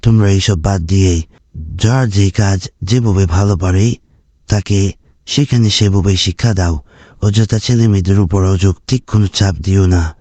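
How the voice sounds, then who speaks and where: Man voicing a deep, mellow, commanding cartoon beast elder. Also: moderate pace, no room sound, close to an everyday voice. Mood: stern, admonishing. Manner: authoritative, admonishing. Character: grave lion king and wise fox scholar. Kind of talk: animated fable dialogue.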